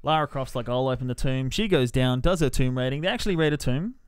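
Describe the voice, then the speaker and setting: A man's voice: mid-range voice, quick pace, clear, resonant tone, dry recording, clean studio sound. A man hosting a podcast, giving a casual, sweary spoken review and acting out bits of dialogue as he goes. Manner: sweary, mimics characters in quotes. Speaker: podcast host reviewing films. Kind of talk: casual spoiler-filled movie review chat.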